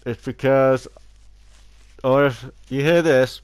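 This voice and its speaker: Gaming YouTuber with a head cold, a little nasal, stumbling and restarting sentences, mid-pitched. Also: male voice, fairly even intonation, takes it slowly, smooth. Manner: rambling, many restarts and stumbles; theatrical opening greeting. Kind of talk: casual video essay.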